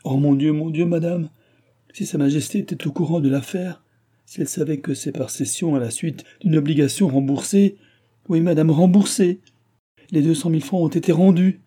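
A man's voice: normal speed, warm tone, husky. A man reading an audiobook, voicing an anxious elderly noblewoman pleading her lawsuit in a raised, fretful voice. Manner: fretful, pleading, rises high.

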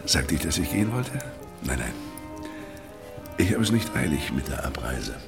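An actor playing a self-assured, smooth-talking baron in a German radio drama, theatrical and commanding. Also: male voice, rumbling low, sing-song, moderate pace, roomy sound, music in the background, full character voice. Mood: nonchalant.

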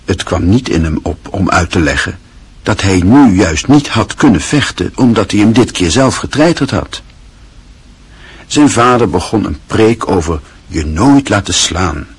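A man with a very husky voice reading scripted literary narration for a radio drama. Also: low voice, animated, unhurried.

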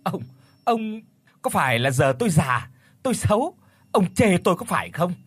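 A man doing a shrill, scolding village wife. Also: big pitch swings, a little fast, fairly bright tone. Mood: wailing. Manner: scolding, wailing. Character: middle-aged village wife, shrill and quarrelsome.

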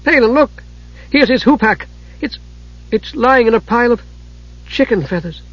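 A man's voice: very high voice, lively intonation, normal speed, fairly bright tone, no echo, highs cut off, voice pushed up, exaggerated voice. A man voicing an emotional, urgent young woman in a fantasy audiobook. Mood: alarmed. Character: anxious young woman, emotional and wide-eyed.

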